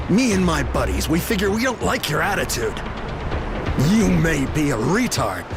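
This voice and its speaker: Sneering, gravelly prison bully. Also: male voice, a little high, exaggerated voice. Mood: menacing. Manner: taunting, sneering, foul-mouthed swagger. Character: crude, menacing prison bully, gang leader. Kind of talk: video game cutscene acting. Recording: music playing behind the voice.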